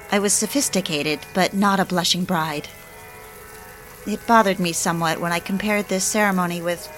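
A woman's voice: mid-range voice, a bit bright, lightly acted. An actress playing a wistful, obsessive young newlywed who confides her story in genteel, literary dramatic narration. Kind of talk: first-person dramatic narration for an audio drama. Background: background music.

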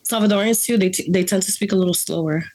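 A young woman from the Bronx, a coffee grader, chatting as a guest in a blunt, profane, animated way and laughing often. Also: medium pitch, fast. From Bronx, New York. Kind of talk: casual chat.

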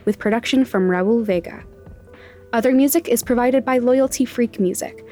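A woman's voice: mid-range voice, darkish tone. A woman reading scripted end credits as the creator of a podcast. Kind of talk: scripted narration. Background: faint music.

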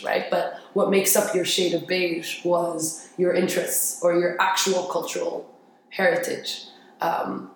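A young adult from Paris telling stories casually as an interview guest, explaining things informally and often checking for agreement. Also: medium pitch. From grew up in Paris, France. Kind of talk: casual conversational storytelling. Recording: very echoey room with faint noise.

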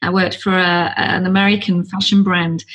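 A woman's voice: fairly low pitch, flat delivery, moderate pace, husky. A woman hosting a podcast interview, asking curious, friendly questions and sharing her own stories. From not Australian, lives in Australia. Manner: warm, curious questioning with light laughs. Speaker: podcast host.

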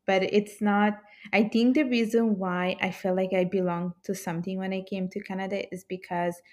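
A woman's voice: medium pitch, little pitch movement, normal speed. A woman from Italy, a podcast guest, talking in a thoughtful, hesitant way with many fillers. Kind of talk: casual interview conversation.

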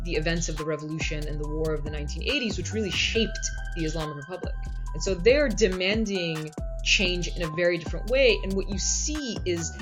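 Measured lower-voiced woman explaining. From United States. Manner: measured, explanatory, with frequent "right?" checks. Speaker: guest scholar. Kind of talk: explanatory interview talk.

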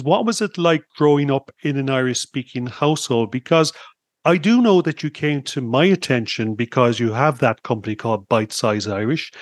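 A man's voice: mid-range voice, dry recording, clean studio sound. Upbeat chatty male podcast host. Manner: upbeat, chatty, with frequent fillers. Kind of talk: podcast interview.

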